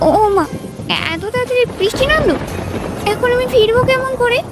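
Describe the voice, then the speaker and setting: A woman playing a feisty, easily irritated young city girl in a romantic audio story, huffy and quick to retort. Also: very high-pitched, over loud music. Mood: carefree, fretting.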